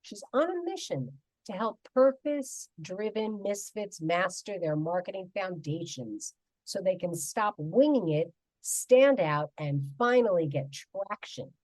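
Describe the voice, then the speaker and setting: A woman's voice: mid-range voice, animated, measured pace, a little mellow, no room sound, poor audio. A woman in her fifties hosting a radio interview show, emphatic and enthusiastic as she introduces and questions her guest.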